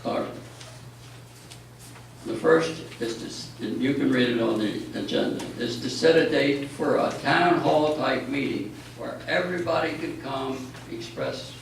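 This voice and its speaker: A man addressing a town board at a public meeting, making measured, pointed remarks. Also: medium pitch, creaky. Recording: echoey meeting hall, mic far off, faint noise.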